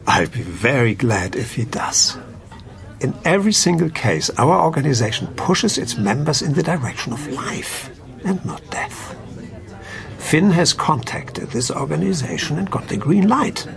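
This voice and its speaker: An actor playing a calm, principled doctor in a radio play, speaking in a measured, formal, explanatory way. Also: male voice, on the high side, vocal fry, exaggerated voice. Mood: earnest. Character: calm, principled male doctor at an assisted-dying clinic.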